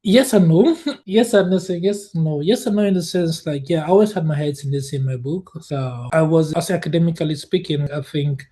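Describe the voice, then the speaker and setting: A man in his thirties from Cameroon, a guest telling his life story in a relaxed interview, full of fillers and restarts. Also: fairly high pitch, brisk, hardly any pauses. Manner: hesitant, many fillers and restarts, laughs often.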